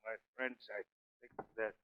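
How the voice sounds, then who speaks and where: A man's voice: fairly low pitch, little pitch movement, a little slow, heavy background noise, lightly acted. A man playing a dying, vengeful victim left for dead, strained and grimly determined, in 1930s radio drama style. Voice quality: gravelly, strained. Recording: echoey, muffled 1930s radio recording.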